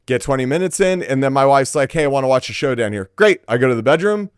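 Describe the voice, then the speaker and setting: A man's voice: mid-range voice, lively intonation, fast, clear, resonant tone, no echo, clean studio sound. A man co-hosting a casual gaming podcast, speaking informally and emphatically with plenty of slang. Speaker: podcast co-host.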